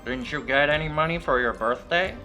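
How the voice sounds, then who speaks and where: A man voicing a magic puppet in a horror story, calm and secretive, persuading and explaining in an ominous way. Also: on the high side, fairly quick, soft background music, pitched above the performer's own voice, poor audio. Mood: persuasive, pushy.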